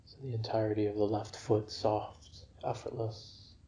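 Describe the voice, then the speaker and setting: A man guiding a yoga nidra relaxation for sleep in a gentle, unhurried, soothing manner. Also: fairly low pitch, level tone, rough recording. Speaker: meditation guide.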